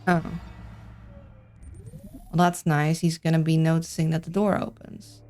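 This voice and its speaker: A woman's voice: deep voice, level tone, unhurried, darkish tone, slight background noise. A woman with a Dutch accent, streaming a game and chatting casually with her viewers, thinking aloud as she plays. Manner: chatty, reads and answers chat, thinks aloud. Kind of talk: casual livestream chat while playing.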